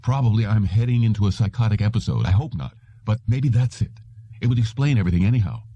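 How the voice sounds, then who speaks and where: Audiobook role: troubled, defiant husband read by a man, husky, very soft-edged tone, dry sound. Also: on the low side, expressive, quick pace, no room sound, highs cut off. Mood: shaky.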